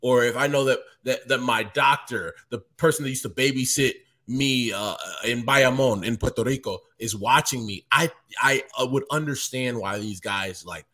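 A man's voice: medium pitch, a bit bright. A man being interviewed as a baseball writer, talking casually and animatedly with lots of restarts.

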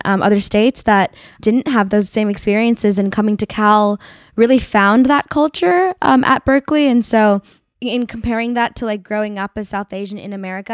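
A woman's voice: mid-range voice, no room sound, studio quality. A woman in her twenties from the Bay Area, a university student guest, talking casually with many "like"s and "um"s. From California Bay Area. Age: 20s. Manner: chatty, lots of "like" and "um". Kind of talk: casual conversation.

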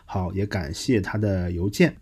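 A man hosting a podcast in a casual, conversational way, pausing now and then as he thinks through his words. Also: sits low, a little mellow, breathy edge. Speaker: podcast host. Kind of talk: casual podcast hosting, reading listener messages.

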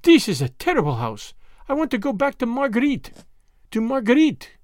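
A man voicing a quick-witted young girl in an audiobook, with an urgent, secretive manner. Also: sits high, big pitch swings, no room sound, clean studio sound, voice pushed up, full character voice. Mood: theatrically alarmed. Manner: hushed urgency, rising to theatrical French exclamations.

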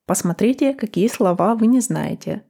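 A young woman from Russia hosting a podcast for learners, speaking calmly and clearly in a friendly, encouraging way. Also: medium pitch, normal speed. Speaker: podcast host, Russian teacher. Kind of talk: slow, clear podcast talk for language learners.

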